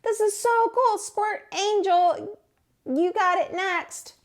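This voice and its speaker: A woman's voice: extremely high pitch, slow, pitched above the performer's own voice. A woman voicing a goofy, exuberant male penguin from a storybook, enthusiastic and carefree. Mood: gleeful.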